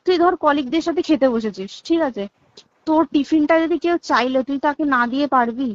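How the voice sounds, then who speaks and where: A woman's voice: high voice, a little fast, no room sound. A young woman with a West Bengal Bengali accent talks casually but insistently on a recorded phone call, often asking emphatic rhetorical questions.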